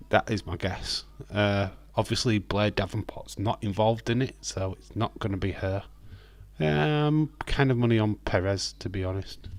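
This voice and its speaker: A man with a lowish, slightly croaky voice hosts a live stream in a loose, rambling chat. He fills gaps with hesitant ums and uhs. The sound is dry and close. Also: dry recording. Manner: rambling, friendly, with frequent hesitant fillers. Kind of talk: casual live watch-along chat, reading viewer comments.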